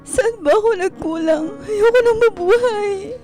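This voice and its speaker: A woman's voice: very high voice, measured pace, darkish tone. A woman playing an emotional, devoted pregnant wife in a radio drama, with a pleading delivery. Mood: anguished, tearful. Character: distraught pregnant young wife, forgiving and devoted. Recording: dramatic music behind.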